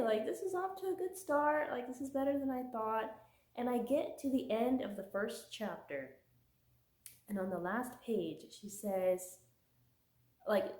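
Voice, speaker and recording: A woman's voice: mid-range voice, darkish tone, reverberant. A young woman with a worn voice from a cold, chatting casually about her month's reading, with many fillers. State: getting over a cold, voice giving out. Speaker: book vlogger. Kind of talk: casual chat.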